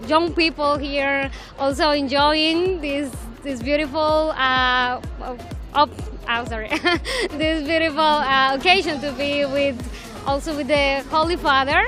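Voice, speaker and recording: Woman, high voice, halting answers, music under. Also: steady tone. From Costa Rica. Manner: hesitant, searching for words. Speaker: interviewee.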